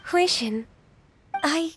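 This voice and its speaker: An actress playing a gentle, humble, dutiful ageless guardian in an anime video game, speaking politely and earnestly. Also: female voice, extremely high pitch, lively intonation, drawn-out words, slight background noise, full character voice. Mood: moved, wistful. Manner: polite, earnest, soft-hearted. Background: game music.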